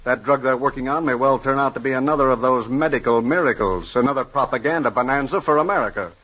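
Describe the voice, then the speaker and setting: Sharp, domineering party boss, old radio. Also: male voice, mid-range voice. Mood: insistent, scheming. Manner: commanding, deliberate, menacing. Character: domineering, sly male Communist Party boss. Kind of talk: 1950s radio drama acting. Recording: dull lo-fi 1950s radio broadcast.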